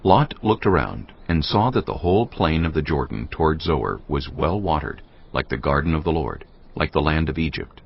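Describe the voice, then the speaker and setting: A man narrating scripture in a measured, grave storytelling manner. The audio is dull and lo-fi. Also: rumbling low, very animated, gravelly, slight background noise. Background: music.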